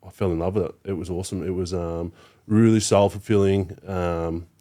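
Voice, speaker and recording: This is an Australian man in his thirties with a fairly low voice. He chats in a relaxed way as a podcast guest, thinking aloud with plenty of fillers. His swearing is frequent and offhand. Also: normal speed. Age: 30s. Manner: laid-back, swears freely, many fillers. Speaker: podcast guest, youth worker. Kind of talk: casual interview chat.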